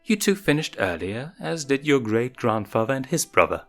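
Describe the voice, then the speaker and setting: A man voicing a calm, motherly adult lioness queen who explains things patiently in a story. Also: mid-range voice, moderate pace, pitched above the performer's own voice. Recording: music behind the voice.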